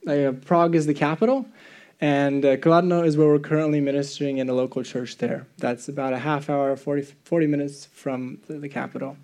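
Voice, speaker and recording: A man from the Czech Republic speaking as a missionary preacher, giving an earnest, halting talk to a congregation and often rephrasing himself. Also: on the high side, dark tone. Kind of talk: sermon and missions presentation to a congregation.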